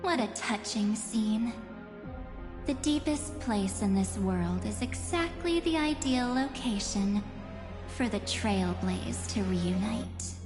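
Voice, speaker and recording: An actress playing an enigmatic, coolly knowing woman in a video game, deliberate and theatrical, mournful with a taunting edge. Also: medium pitch, animated. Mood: solemn, knowing. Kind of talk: dramatic video game voice acting. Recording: echoey hall reverb with music behind.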